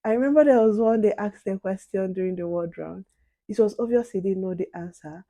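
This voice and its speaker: A soft-spoken young woman chatting casually to viewers while doing her makeup. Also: medium pitch, brisk, no echo. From outside the UK. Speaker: beauty and advice vlogger.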